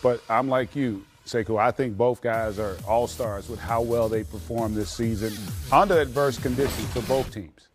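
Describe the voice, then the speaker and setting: Medium-pitched male basketball pundit over music. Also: steady tone, normal speed, hardly any pauses. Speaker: basketball analyst. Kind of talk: conversational sports debate.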